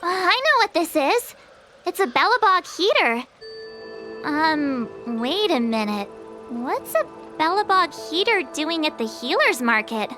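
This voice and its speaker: Perky, impatient anime game girl: chatty, very high pitch, unhurried pace, expressive. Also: soft background music, full character voice. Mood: confused. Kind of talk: anime-style game voice acting.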